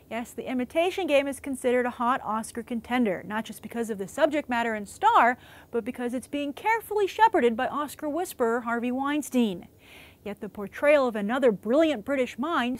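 A young woman hosting a film web show, reading scripted movie news as a presenter. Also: high voice. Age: young adult.

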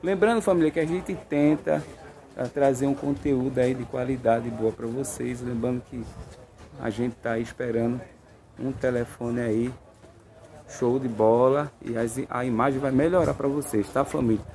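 A man's voice: medium pitch, fairly even intonation, normal speed, dark tone, rough recording. A man filming a walkaround of cars for sale, upbeat and full of repeated catchphrases, recorded on a phone. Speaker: car fair vlogger. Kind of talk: hyped sales walkaround. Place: outdoor car lot. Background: crowd chatter at a car fair.

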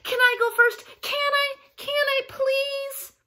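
Woman as little boy goat, very high, squeaky, timid, pleading, bright storybook character. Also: flat delivery, slow pace, full character voice. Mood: eager, begging. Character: tiny young male billy goat, timid, squeaky, pleading. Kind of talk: animated children's storybook reading.

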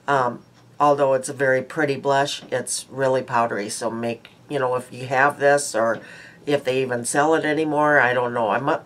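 A woman's voice: a bass voice, slight background noise. A woman in her sixties with a stuffy nose, chatting casually to a webcam in a small room at home about her makeup and her day, rambling with many ums and uhs. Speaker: beauty vlogger. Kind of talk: casual daily makeup chat. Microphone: webcam at close range.

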